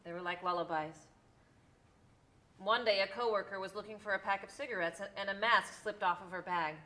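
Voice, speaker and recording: A woman's voice: medium pitch, fairly quick, pushed and bright, close to an everyday voice. A woman playing a weary, homesick immigrant mother who confides tenderly in her son, as dramatic stage storytelling. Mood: tender, wistful.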